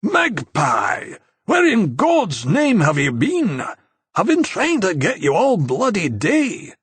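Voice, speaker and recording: A man's voice: high-pitched, huge pitch swings, takes it slowly, a bit bright, breathy edge, no echo, voice pushed up, exaggerated voice. A man reading an audiobook, voicing an urgent, exasperated Scottish lawyer with a strong brogue. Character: stressed Scottish lawyer, middle-aged man.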